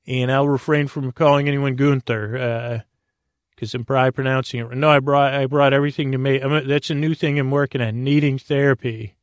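A man with a creaky voice hosting a bedtime podcast, delivering a halting, meandering, goofy comic monologue. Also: medium pitch, steady tone, no echo, clean studio sound. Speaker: podcast host.

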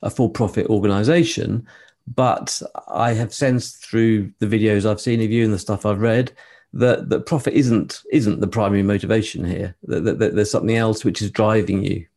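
A man's voice: fairly low pitch, steady tone, normal speed, a little mellow. A man interviewing a guest, asking questions with stammered restarts and polite agreement.

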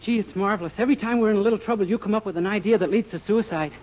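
Man as high, quick, boyish radio wisecracker. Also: natural voice. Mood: doubtful. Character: naive, wisecracking young male shop employee. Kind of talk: 1940s radio comedy acting. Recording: noisy, dull, crackly 1940s radio recording.

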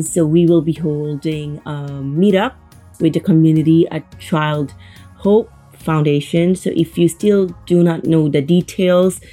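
A woman's voice: low voice, mellow. A young woman hosting her own podcast, talking earnestly and chattily, often repeating words for emphasis. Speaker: podcast host. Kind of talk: casual solo podcast talk.

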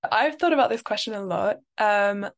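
A woman's voice: medium pitch, moderate pace, gravelly. Young woman, reflective, chatty, frequent fillers. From France, raised in London. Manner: thoughtful, many "like" and "um" fillers. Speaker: guest. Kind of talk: reflective interview talk.

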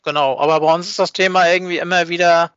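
Man, slightly high pitch, casual podcast talk, halting, word repetitions, dull lo-fi sound. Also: fairly even intonation, fairly bright tone, clean tone, no room sound. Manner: halting, repeats words while searching for phrasing. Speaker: podcast speaker. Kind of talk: casual chat, recounting how a fan project began. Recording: slightly dull, dry voice recording.

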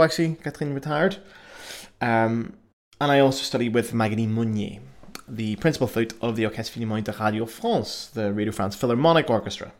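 A young man with a Belfast accent, hosting a solo podcast in a chatty, self-mocking way with frequent fillers. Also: medium pitch. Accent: Belfast Northern Irish. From Northern Ireland. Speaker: podcast host. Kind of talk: casual solo podcast monologue.

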